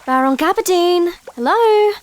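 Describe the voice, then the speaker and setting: A woman's voice: extremely high pitch, expressive, unhurried. A woman voicing a graceful, mischievous young female sea pony in a storybook reading, with a playful, girlish manner. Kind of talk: dramatic reading of a fan story.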